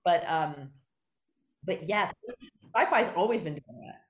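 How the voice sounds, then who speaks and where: A woman with a fairly low voice talks in a loose, animated way, rambling from thought to thought and laughing often. She is a guest playwright in a casual conversation. The recording has a noticeable roomy echo. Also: slow pace. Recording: roomy echoey sound.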